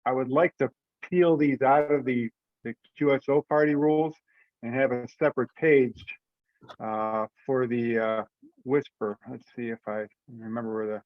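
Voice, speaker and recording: A man's voice: mid-range voice, steady tone, normal speed, dry recording. Hesitant man, dull lo-fi call audio. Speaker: event organizer presenting rules. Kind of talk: casual meeting discussion. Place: online teleconference call.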